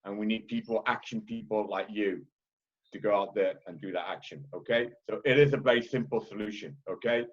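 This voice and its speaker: Loud blunt man, British accent. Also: on the low side, fairly quick, lo-fi sound. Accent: Liverpool-tinged British. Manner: loud, blunt, repeats "okay?" often. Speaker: conference speaker. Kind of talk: heated panel talk.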